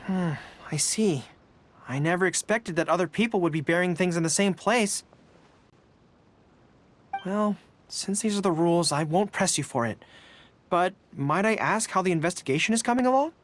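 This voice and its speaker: A woman's voice: lowish voice, normal speed, pauses often, close to an everyday voice. A woman voicing an earnest, polite young male general with canine ears in a video game, often thinking aloud.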